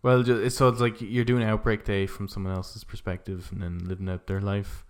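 A man's voice: on the low side, flat delivery, fairly quick, clean tone. A man co-hosting a casual podcast, thinking aloud in a tentative, conversational way. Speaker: podcast co-host.

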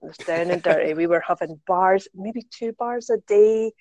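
A woman's voice: lowish voice, moderate pace, darkish tone, no room sound. A woman with a Scottish accent, a podcast guest chatting in a bubbly way and jumping from thought to thought. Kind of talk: casual chat.

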